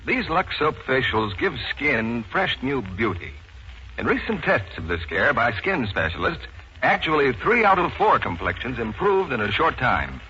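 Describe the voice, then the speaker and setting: Male announcer, medium pitch, animated, scripted vintage radio ad, dulled old broadcast sound. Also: normal speed. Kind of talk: 1940s scripted radio advertising. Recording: dull 1940s radio broadcast recording.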